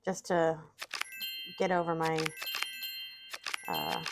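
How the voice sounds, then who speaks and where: A woman's voice: sits low, big pitch swings, extremely slow, vocal fry, background noise. A middle-aged woman, sniffly and tired after vaccines, chatting casually and rambling about her day while playing solitaire. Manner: rambling, self-deprecating, talks to chat. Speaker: streamer.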